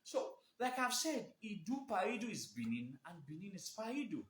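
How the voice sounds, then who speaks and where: A man's voice: sits high, very animated, normal speed, fairly bright tone. Emphatic man livestreaming in echoey room. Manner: emphatic, repeats and restarts phrases. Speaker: livestream host. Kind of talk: live video talk answering viewer questions. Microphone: phone.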